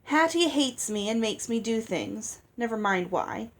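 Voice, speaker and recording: A woman's voice: a little high, expressive, measured pace. A woman voicing a resentful, guarded teenage girl in a storybook, speaking in a terse, direct way. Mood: confiding, aggrieved. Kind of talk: audiobook character voice.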